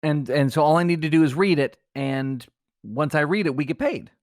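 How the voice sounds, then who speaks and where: Higher-voiced male comedy podcast co-host. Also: moderate pace, dry recording, clean studio sound. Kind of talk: comedic banter and mock-solemn obituary reading.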